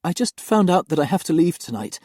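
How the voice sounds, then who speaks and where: A man's voice: on the high side, very animated, a little fast, darkish tone, no echo, studio quality, voice pushed up. A man voicing a frightened young woman nanny, pleading earnestly through tears.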